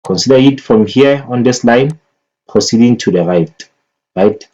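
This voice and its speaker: A man's voice: medium pitch, unhurried, dark tone. A man giving casual, unscripted commentary as a market analyst, thinking aloud and often repeating phrases as he goes. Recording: dull lo-fi microphone sound.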